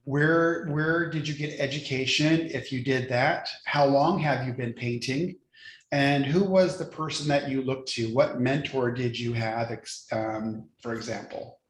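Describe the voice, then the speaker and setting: A male host welcomes a guest over a video call, his middle-pitched voice echoing in a roomy space. He talks in a friendly, hesitant way, filling pauses with ums. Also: fairly even intonation. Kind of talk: casual interview chat.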